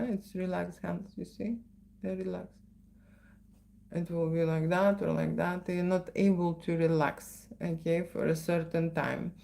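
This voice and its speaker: A woman's voice: fairly low pitch, gravelly, dark tone. A middle-aged woman with a Lithuanian accent, a photographer chatting in a live question session and often tagging her sentences with "okay?" and "right?". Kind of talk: live Q&A chat.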